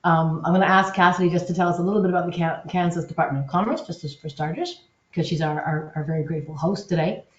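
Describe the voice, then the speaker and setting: A woman's voice: on the low side, quick pace, echoey room, dull audio. A woman presenting a live webinar in an upbeat, enthusiastic, loosely conversational way. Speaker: webinar presenter, agency content officer.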